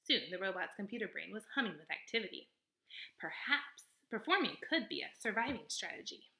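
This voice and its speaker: A woman's voice: mid-range voice, sing-song, a little fast, gravelly, bright, forceful tone, rough recording. A woman reading a storybook aloud as narrator, expressively, with casual spoken asides.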